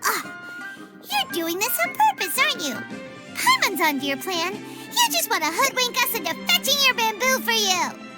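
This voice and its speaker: A woman voicing a small, excitable, greedy fairy companion in a video game, with a whiny, childlike manner. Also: very high-pitched, moderate pace, over loud music, heavily acted. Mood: suspicious, indignant. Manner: whiny, childlike, refers to self in third person. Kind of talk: animated video game voice acting.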